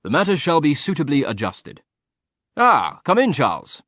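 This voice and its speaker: A man reading a lazy, ironic aristocratic gentleman who drawls his words dryly, in an audiobook. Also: a little high, wildly swooping pitch, moderate pace, no room sound, studio quality, pitched above the performer's own voice, heavily acted. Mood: drawling.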